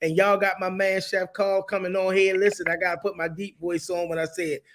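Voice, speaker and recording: A high-pitched man hosts a casual live stream, full of slang and big praise. A faint hiss sits under his voice. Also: level tone, fairly quick, fairly bright tone. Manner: hyped, slangy and effusive. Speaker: show host.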